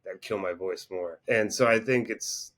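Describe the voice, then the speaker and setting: A young man with a lowish voice talks loosely about his singing. He rambles, hedges with plenty of fillers and pokes fun at himself, sounding easygoing and unpolished. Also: moderate pace, fairly bright tone. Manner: rambling, self-deprecating, many fillers. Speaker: band singer, interview guest. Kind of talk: casual interview chat.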